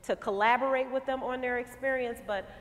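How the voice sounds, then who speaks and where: A woman's voice: a little high, fairly even intonation, fairly quick. A woman panelist speaking earnestly and emphatically in a discussion. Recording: echoey hall, long reverb.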